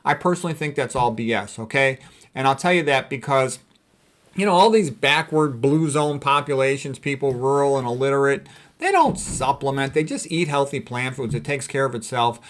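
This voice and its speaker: A man's voice: mid-range voice, lively intonation, normal speed, a bit bright. A man, a physician, lecturing informally and commenting on quotes in a blunt, opinionated way.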